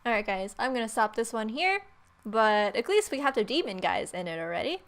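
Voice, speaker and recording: A woman's voice: fairly high pitch, very animated, a little fast. A woman hosting a gaming video, giving flippant, sarcastic commentary and improvised replies to characters.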